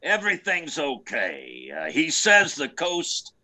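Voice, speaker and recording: Shady go-between, slow, wildly swooping pitch, halting, repetitive, edgy, old radio thriller. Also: male voice, wildly swooping pitch, sharp tone, heavily acted. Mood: edgy, furtive. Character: shady male go-between arranging a contract killing.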